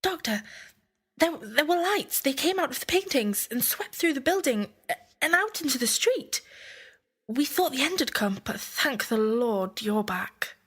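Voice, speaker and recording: A woman's voice: sits high, very animated, a little slow, fairly bright tone, breathy edge, full character voice. A woman playing a devout, anxious churchgoer in an audio drama, pious and earnest. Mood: awed, relieved.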